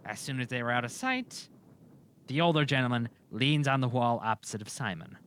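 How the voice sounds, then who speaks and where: A man's voice: medium pitch, lively intonation, fairly quick, a bit bright, slight background noise. A man narrating an audio drama as a scripted story, in a dramatic storytelling style.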